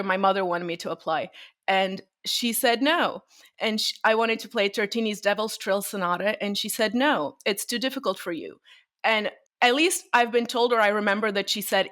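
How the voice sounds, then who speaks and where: A Bulgarian-born woman speaks as a guest on an interview show. She tells stories about her career in a friendly, conversational way. Her talk is mixed with frequent small laughs. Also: medium pitch. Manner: warm storytelling, laughs often. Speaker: violinist guest.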